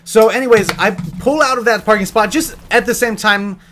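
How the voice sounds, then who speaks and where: A man's voice: sits high, fairly quick, noisy background. An American man telling a casual story in a rambling, teasing, joking way, like a vlogger.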